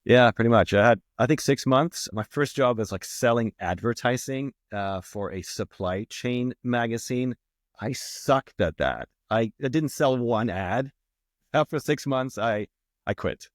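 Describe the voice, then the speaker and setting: A middle-aged man from Sweden with a Swedish accent, a marketing executive talking about his career in a relaxed, reflective way with many fillers and restarts. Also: mid-range voice, a little slow, no room sound, studio quality. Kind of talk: casual interview talk.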